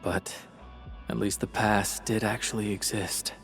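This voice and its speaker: Steady game companion, gentle, supportive, slightly low pitch, clear background music. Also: male voice, unhurried. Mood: calm, gentle. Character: calm, supportive young male companion, gently questioning. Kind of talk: video game voice acting.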